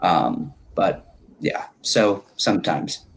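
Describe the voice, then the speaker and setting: A young man of twenty from Connecticut, a college student on an online panel. He talks in a casual, loose way with a lot of "like". His voice sits low. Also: animated, slow pace, creaky, a little hiss or hum. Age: 20s. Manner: casual, heavy use of "like". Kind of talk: casual chat.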